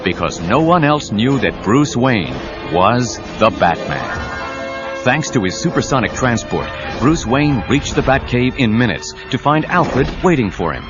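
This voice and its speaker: An actor narrating a superhero audio drama as a suspenseful storyteller. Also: male voice, mid-range voice, sing-song, measured pace. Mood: dramatic, suspenseful. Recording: dull 1990s cassette, music under voice.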